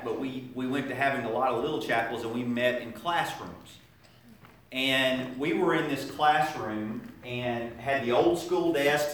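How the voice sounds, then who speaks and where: A middle-aged man giving a sermon in a conversational, halting way, with frequent restarts and fillers. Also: mid-range voice, a bit bright, a little hiss or hum. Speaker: preacher. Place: church sanctuary. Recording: echoey room from a distant mic.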